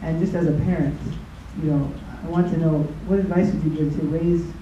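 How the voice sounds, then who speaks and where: A man's voice: on the high side, fairly even intonation, a little fast, dark tone, slight background noise. A man who is a father asking a sincere question from an audience. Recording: dull echoey hall.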